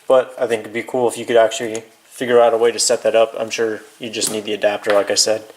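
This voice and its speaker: A man's voice: on the low side, flat delivery, quick pace, a little mellow. A man giving a casual, hands-on how-to demonstration in an informal, offhand way, as if thinking aloud while he works. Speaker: DIY video presenter. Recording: clear background noise, clicks of handling.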